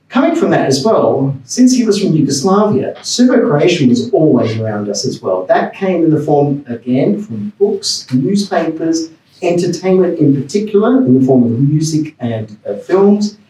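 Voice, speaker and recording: A man's voice: fairly high pitch, big pitch swings, moderate pace, mellow, echoey room, recorded from a distance, low-quality recording. A man from Australia giving a personal, rambling talk on a panel, with restarts and chuckles. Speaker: panel speaker. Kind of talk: academic talk. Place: lecture room.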